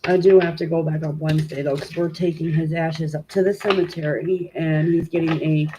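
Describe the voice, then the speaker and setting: A woman's voice: sits low, steady tone, brisk. Congested woman chatting on a noisy stream. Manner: informal and chatty, many "um" fillers, greets viewers. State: congested, stuffy nose. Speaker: tarot reader hosting a live stream. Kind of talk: casual live-stream chat and card reading. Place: at home.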